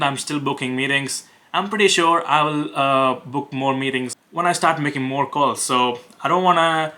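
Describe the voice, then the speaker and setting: A young man with an Indian accent, talking casually to camera and pitching on sales calls, hesitant with many fillers and restarts. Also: pushed and bright. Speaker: web design agency owner making cold calls.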